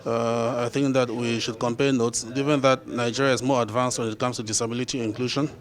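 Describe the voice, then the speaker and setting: A man's voice: medium pitch, little pitch movement, brisk. Composed man election observer. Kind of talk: interview answer. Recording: crowd chatter behind.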